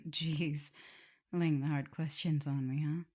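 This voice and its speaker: A woman playing an affectionate, teasing vampire mistress in an intimate ASMR roleplay, speaking softly and tenderly with a breathy, husky voice. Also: deep voice, steady tone. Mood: relaxed, teasing. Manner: soft, unhurried, tender, gently teasing. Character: affectionate, teasing female vampire mistress and professor, doting lover.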